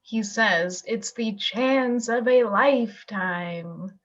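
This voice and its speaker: Radio drama: female actor as a devoted young small-town girl, sweet and unhurried, a little muffled on a video call. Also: on the high side. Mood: tender. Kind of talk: amateur radio play acting.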